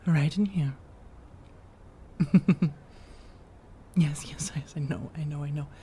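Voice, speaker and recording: A woman playing a seductive, teasing vampire roommate in soft-spoken ASMR roleplay, coaxing and reassuring, often drifting into whispers. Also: sits low, takes it slowly, a little hiss or hum. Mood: coaxing, soothing.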